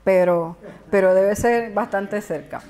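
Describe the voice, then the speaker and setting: A woman's voice: medium pitch, slow, warm tone. A woman from Puerto Rico with a Puerto Rican accent, presenting a genealogy talk at a conference in an informal, explanatory way with chatty asides. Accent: Puerto Rican Spanish. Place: conference hall.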